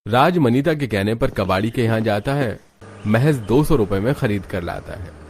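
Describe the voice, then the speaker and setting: कहानी सुनाता गहरी आवाज़ वाला पुरुष. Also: male voice, fairly low pitch, expressive, moderate pace, darkish tone, slight background noise. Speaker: narrator. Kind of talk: scripted cartoon story narration.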